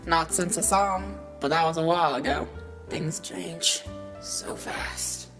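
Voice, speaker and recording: A woman's voice: deep voice, measured pace. A woman voicing a laconic, world-weary old robot war veteran in an amateur comic dub, terse and drawling. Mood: weary, resigned. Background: faint music.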